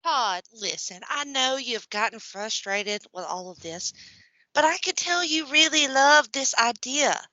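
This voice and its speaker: A woman plays an encouraging, businesslike assistant in a comedic audio play. Her voice is high and bright, coaxing and practical. The sound is very dry. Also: lively intonation, unhurried, no echo, lo-fi sound, heavily acted.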